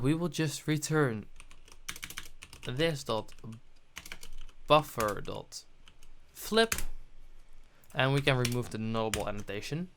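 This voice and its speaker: A man's voice: medium pitch, a bit bright. A man presenting a programming tutorial, narrating his steps on screen as he goes.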